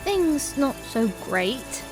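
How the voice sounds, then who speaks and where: Small gentle female halfling played by a man, modest, high-voiced and unhurried, fantasy story. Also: big pitch swings, full character voice. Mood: sheepish. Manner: sheepish, measured, modest. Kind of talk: fantasy audio story character. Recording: loud music behind the voice.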